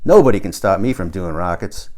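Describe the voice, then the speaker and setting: A man's voice: low voice, lively intonation, a little fast, darkish tone. A man voicing a brash, boastful, obsessive amateur rocket builder in an audiobook, in plain colloquial speech. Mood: defiant boasting.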